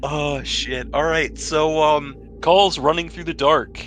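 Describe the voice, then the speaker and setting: A man's voice: fairly high pitch, fairly even intonation, slow pace, fairly bright tone, a little hiss or hum. A man acting as game master, narrating a tabletop role-playing game in a casual, joking, slangy way. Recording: music underneath. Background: background music.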